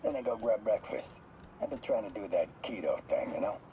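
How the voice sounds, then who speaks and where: A man's voice: fairly low pitch, fairly quick, mellow, slight background noise. An actor playing a cold, guarded mob enforcer in a game, talking matter-of-factly as if to his therapist on a recording. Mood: casually matter-of-fact.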